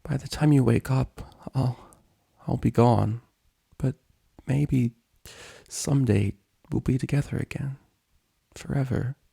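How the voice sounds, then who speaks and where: Man voicing Twilight, an anguished unicorn mare, pitched up. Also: slow, creaky, long pauses, mellow, breathy edge, full character voice. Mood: tearful regret. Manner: emotional, strained, earnest. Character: Twilight, grieving female unicorn, devoted, anguished, firm.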